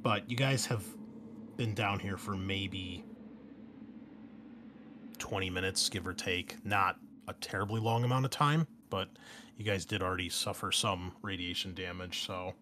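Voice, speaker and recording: A man's voice: sits low, unhurried. A man hosting and game mastering a tabletop roleplaying game in a casual, explanatory, conversational way. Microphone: close microphone with pop shield. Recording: clearly noisy background.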